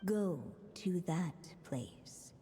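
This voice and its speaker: Mysterious fairy tale narrator lady, slow and mellow, solemn, big reverb. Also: on the low side, lively intonation. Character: mysterious, measured storybook narrator woman telling a riddle. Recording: long reverb with faint noise.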